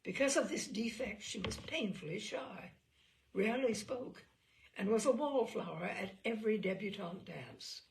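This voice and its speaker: A woman reading humorous anecdotes aloud as a storyteller, with theatrical, deliberate delivery and emphasis on key words. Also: mid-range voice, animated, gravelly, far from the mic.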